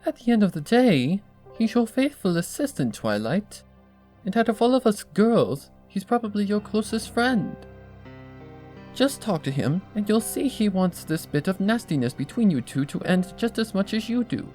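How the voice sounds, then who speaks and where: Storybook lady pony dressmaker, played by a woman: high-pitched, very animated, refined, gushing and theatrical. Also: normal speed, soft background music, natural voice. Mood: gushing reassurance. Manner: theatrical, genteel, affectionate.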